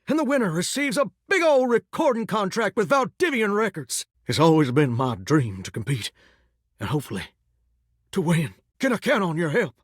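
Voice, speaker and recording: A man's voice: sits high, no echo, clean studio sound. An actor voicing an eager male talking showerhead who dreams of pop stardom, with a folksy drawl and a theatrical, gushing manner. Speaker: dating game character. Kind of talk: game voice acting.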